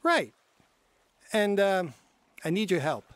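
A friendly churchgoing worker in a radio drama, polite and a bit hesitant. His voice is high and lively, recorded dry and close. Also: slow pace, no echo. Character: churchgoing man who works at a water plant, friendly.

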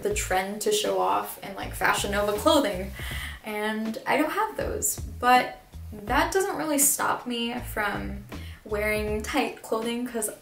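A woman's voice: medium pitch, creaky, a bit bright, far from the mic. A young woman from the Philippines talking candidly to camera in a casual vlog, with lots of "like", swearing and laughter. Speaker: vlogger. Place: small bare room, indoors. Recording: echoey room, mic some way off.

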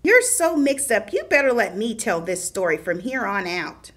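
A woman's voice: mid-range voice, sing-song, moderate pace, a bit bright. A woman voicing an exasperated shaggy dog puppet, the sensible friend, scolding and insistent, in children's puppet theater. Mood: frustrated, emphatic.

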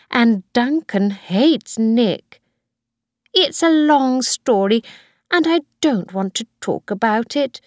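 Lady doing a bossy old grandma from a storybook, firm and scolding, pitched up, very animated. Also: no room sound, studio quality. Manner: firm, emphatic, admonishing. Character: stern elderly grandmother, a kindly but bossy employer.

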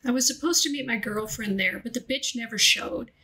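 A woman's voice: fairly high pitch, brisk, sharp tone, low-quality recording. A woman playing a brash, impatient young woman in audiobook dialogue, casual and streetwise. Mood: casual, coaxing.

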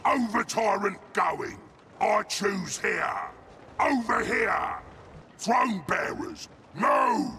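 Game ogre king: gluttonous, boastful, bellowing, forceful and drawn-out delivery, gravel-throated. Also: a little hiss or hum, heavily acted. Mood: commanding. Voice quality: gravelly, booming. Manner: pompous, bellowing, slow. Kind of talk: video game unit battle barks.

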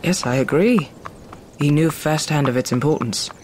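A man's voice: fairly high pitch, moderate pace, a little mellow, lightly acted. An actor playing a polite, curious young man from the plains in a fantasy audio drama, with formal, earnest phrasing. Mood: calm, open. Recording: clearly noisy background of clicks and horse-like sounds.

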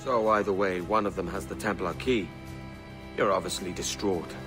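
An actor playing a blunt, pragmatic pirate assassin in a video game cutscene, firm and businesslike. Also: male voice, deep voice, fairly even intonation, normal speed, over music, natural voice.

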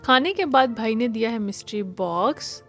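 A woman vlogger talks through a family day out in a cheerful, chatty voice that sits a little high. She drops English phrases into her narration, and soft music plays faintly behind her. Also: animated, normal speed. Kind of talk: casual vlog narration.

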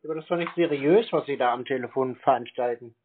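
A man's voice: medium pitch, fast talker. A man in a casual phone conversation, answering in a terse, unimpressed and contrary way. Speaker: scambaiter taking a sales call. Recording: narrowband phone sound.

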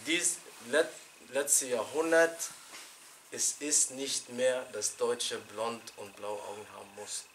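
A middle-aged man teaching an informal religious lesson in a small room, animated and chatty, laughing with his students and restarting his sentences. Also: mid-range voice, takes it slowly, slight background noise, poor audio. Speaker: sheikh teaching a Quran class. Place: small indoor classroom.

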